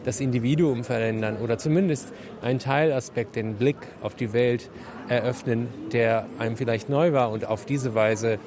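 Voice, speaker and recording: A man reflects aloud in an interview, his voice in a middle range. Crowd chatter is clearly heard behind him. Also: medium pitch, normal speed. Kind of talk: interview statement.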